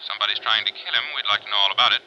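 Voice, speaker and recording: A blunt, businesslike official in a 1950s radio drama. He talks quickly in a clipped, very forceful, sharp tone. The vintage recording is muffled. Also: fairly low pitch, fairly even intonation. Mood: brisk, blunt. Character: blunt, brisk businesslike man, official or executive.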